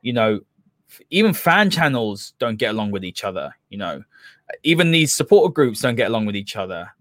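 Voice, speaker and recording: A man's voice: on the low side, very animated, a little fast, fairly bright tone, no room sound, clean studio sound. A man with a London accent hosting a football podcast, talking in a casual, opinionated discussion style. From London area, England.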